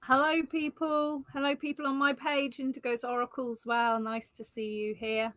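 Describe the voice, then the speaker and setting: A woman's voice: high-pitched, little pitch movement, moderate pace, fairly bright tone, no room sound. A woman co-hosting a live psychic reading stream, chatting casually with viewers, nursing a sore throat. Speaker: co-host, psychic reader.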